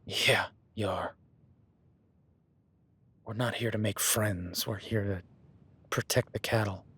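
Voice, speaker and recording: A man playing a calm cowboy rancher. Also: slow, husky, dry recording, exaggerated voice. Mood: firm. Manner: low-key, calm and instructive, often hushed. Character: rugged male cowboy rancher, steady and protective. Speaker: ranch hand tracking a beast. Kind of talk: listener-addressed audio roleplay.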